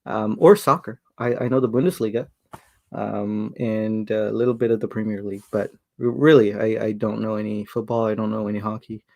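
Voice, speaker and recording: A man's voice: on the low side, fairly even intonation, brisk, vocal fry, darkish tone. Hesitant man hosting a casual livestream. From Sri Lanka. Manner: hesitant, many fillers and restarts, chuckles often. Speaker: livestream host reading chat.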